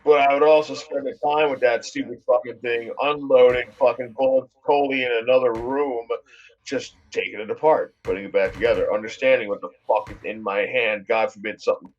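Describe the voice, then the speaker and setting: A man talks loosely on a live stream, swearing freely as he circles his point. His voice sits at an ordinary middle pitch. The sound is dull and lo-fi, like a cheap headset over voice chat. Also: fairly even intonation, fairly quick. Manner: rambling and heavily profane.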